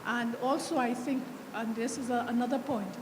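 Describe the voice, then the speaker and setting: A woman from the Caribbean with a Caribbean accent, speaking as an audience member, polite and measured. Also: on the high side, steady tone. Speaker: audience member asking a question. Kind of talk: question from the floor. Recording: echoey hall with audible background noise.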